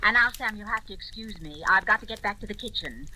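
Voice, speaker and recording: A woman's voice: medium pitch, expressive, fast, bright, forceful tone, a little hiss or hum, rough recording. A woman playing a doting, cheerful homemaker mother in an old-time radio drama, sweet and homely. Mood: cheerful, affectionate.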